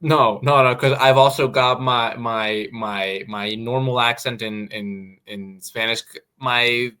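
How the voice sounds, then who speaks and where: A man with an American accent, a fighter answering interview questions in a halting way, repeating words as he goes. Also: medium pitch, steady tone, sharp tone, smooth. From Mexico. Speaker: fighter guest. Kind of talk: casual interview.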